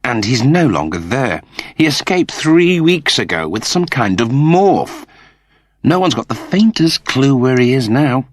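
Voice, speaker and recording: Sci-fi audiobook casting: self-important male crewman acted by a man, brisk, clipped, lively swings in pitch. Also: no echo, clean studio sound. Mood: brisk, reporting.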